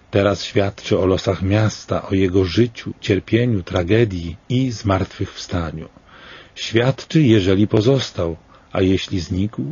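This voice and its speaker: A man in his sixties reading a scripted commentary in a slow, grave and deliberate way, with rhetorical questions. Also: fairly low pitch, dark tone, breathy edge, lo-fi sound.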